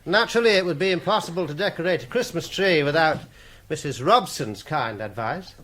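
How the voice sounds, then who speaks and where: A man's voice: a little high, lively intonation, brisk, a bit bright. A man playing a prim, resentful schoolmaster who speaks with pompous, stiff formality in a radio drama. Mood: stiff, sarcastic.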